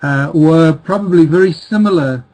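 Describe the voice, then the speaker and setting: Middle-aged male interview guest, slightly high voice, rambling and hesitant. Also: normal speed, a little mellow. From Czech Republic, raised in South Africa. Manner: rambling, many restarts and ums. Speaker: guest author. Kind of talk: casual interview talk.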